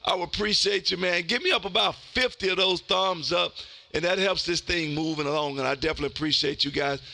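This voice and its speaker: A man's voice: high voice, brisk, vocal fry, sharp tone, no room sound. A man hosting a live amateur radio stream, chatting casually in an effusive, folksy way and peppering his talk with "man". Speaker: amateur radio livestream host. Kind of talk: casual radio chat with listeners.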